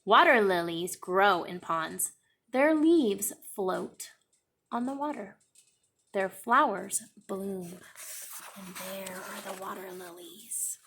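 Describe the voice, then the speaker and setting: A young woman teacher reading a picture book aloud for children in a classroom, pausing to explain words and pages. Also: medium pitch, husky. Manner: slow, expressive storytime reading with explanations.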